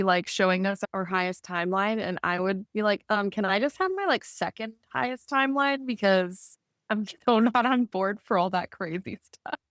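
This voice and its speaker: A woman with a middle-pitched voice in a dry, close recording. She chats casually about spiritual things in an upbeat, giggly way, peppering her talk with "like". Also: fairly bright tone, smooth, dry recording. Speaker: podcast co-host receiving healing. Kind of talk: casual chat on spiritual topics.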